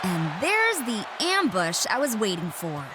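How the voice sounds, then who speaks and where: A woman's voice: medium pitch, huge pitch swings, a little slow. A cocky lady wrestling champ, sneering and sarcastic, swoops around a lot, some noise behind. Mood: mocking. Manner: smug, cutting, sarcastic. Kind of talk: scripted sports-entertainment promo.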